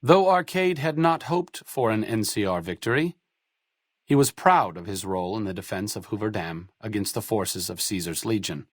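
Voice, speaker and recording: A man narrating video game epilogues in a measured, solemn storytelling manner. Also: lowish voice, animated, no room sound, clean studio sound. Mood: somber, measured. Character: somber male narrator telling a companion's fate.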